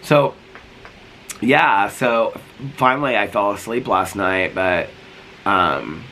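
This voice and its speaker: A middle-aged man sits outside and chats into his vlog, rambling with many "like"s and restarts. He is sick, with a cough and a fading voice, yet his tone stays bright and forceful. Faint background noise sits under the talk. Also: on the low side, slow pace. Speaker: vlogger. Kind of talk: casual vlog chat. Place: sitting outdoors.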